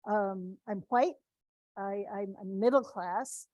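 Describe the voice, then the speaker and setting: A woman's voice: mid-range voice, takes it slowly, dark tone, dry recording, dull audio. A woman from the Midwest giving an informal talk to students, speaking conversationally with frequent restarts and tag questions.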